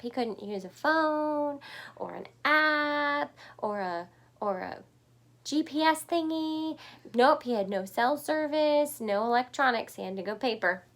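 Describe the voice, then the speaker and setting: A woman reads a picture book aloud for children. Her voice is high and very lively in pitch, taking its time, with chatty asides along the way. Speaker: storybook reader.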